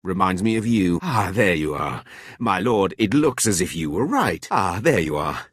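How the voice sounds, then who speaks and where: An actor playing a cruel, contemptuous male overseer of a dark academy in a video game, sneering and sarcastic in an imperious way. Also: low-pitched, big pitch swings, normal speed, husky, dry recording, exaggerated voice. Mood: dry, sardonic. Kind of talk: scripted video game villain dialogue.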